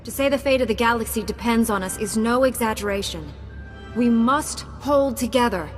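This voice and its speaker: A woman playing a calm, principled young heroine, a Jedi Knight in a video game, speaking steadily, earnestly and diplomatically. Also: on the high side, moderate pace, lightly acted. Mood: firm, earnest. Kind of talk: scripted video game acting. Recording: long reverb, game music behind.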